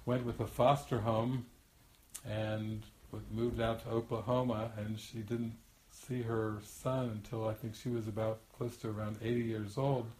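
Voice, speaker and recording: A man's voice: fairly low pitch, flat delivery, unhurried, darkish tone. An older man, a spiritual teacher, telling a long anecdote in a rambling, chatty way with frequent restarts and laughter. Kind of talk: storytelling teaching talk. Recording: echoey room.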